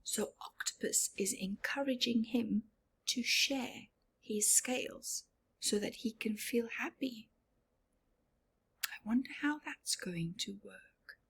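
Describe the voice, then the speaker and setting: A woman reading a children's storybook aloud expressively, with chatty asides and often hushed tones. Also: fairly high pitch, takes it slowly, gravelly, breathy edge, whispered. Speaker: storyteller, teacher-like reader.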